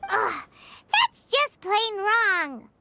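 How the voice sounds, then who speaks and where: A woman voicing a small, excitable, childlike fairy companion in a video game, squeaky, curious and blunt. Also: very slow, background noise, exaggerated voice. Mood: disgusted. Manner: exclamatory, refers to self by name.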